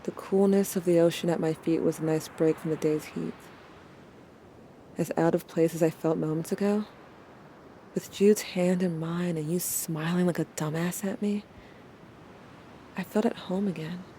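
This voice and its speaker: Brooding, wounded young narrator for audio drama played by a woman; mellow, lowish, introspective, background noise. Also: fairly even intonation, moderate pace, long pauses, close to an everyday voice. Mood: wistful. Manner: casual, wry, introspective. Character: brooding young narrator, wry, wounded, questioning gender, addressing lost sibling. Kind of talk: audio drama narration, intimate confessional monologue.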